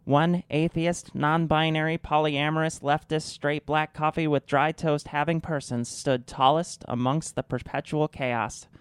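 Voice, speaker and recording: A man's voice: a little high, steady tone, unhurried, hardly any pauses, clear, resonant tone, dry recording, natural voice. A man playing a grave documentary war narrator in a satirical radio drama, portentous and dramatic scripted narration.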